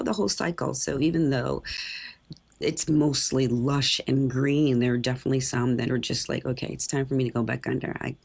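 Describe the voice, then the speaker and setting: Woman co-host, husky gravelly morning voice, easygoing chatty delivery. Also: extremely low pitch. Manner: chatty, laughs easily, acts out quoted flowers. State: raspy morning voice. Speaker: podcast co-host. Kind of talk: casual chat.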